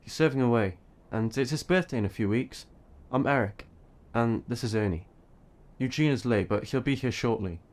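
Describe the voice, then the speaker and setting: An actor playing a bossy, responsible teenage eldest brother in an audio drama, stern and scolding. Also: male voice, mid-range voice, normal speed, darkish tone, close to an everyday voice. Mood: formal.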